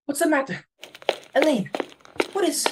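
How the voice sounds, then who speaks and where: A woman's voice: high-pitched, sing-song, moderate pace, noisy background. Woman acting a fussy society hostess, wildly swooping voice. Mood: alarmed, scolding. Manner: haughty, exclamatory, easily flustered. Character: fussy, snobbish society hostess and domineering mother. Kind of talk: amateur dramatic reading of a stage comedy.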